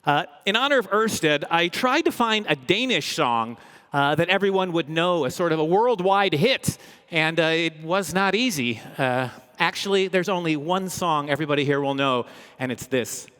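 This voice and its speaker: A man's voice: fairly high pitch, moderate pace, clean tone. Upbeat male event host in echoey hall. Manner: upbeat, energetic, joking. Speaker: comedian hosting a corporate event. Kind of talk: humorous live stage presentation. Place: large indoor event hall, live audience. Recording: echoey big hall, long reverb.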